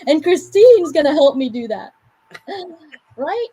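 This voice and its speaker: A woman from the Philippines with an American accent, co-hosting a casual chat and giving an animated motivational talk with joking asides. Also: sits high, slow, low-quality recording.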